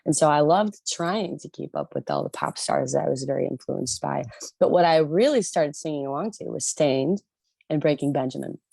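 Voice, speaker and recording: A woman from the Detroit area with a notably low, gravelly voice talks casually as an interview guest. She rambles with fillers and restarts. The sound is dry and close. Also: sing-song, moderate pace, dark tone, no room sound. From Detroit area, USA. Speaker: rock band lead singer, guest.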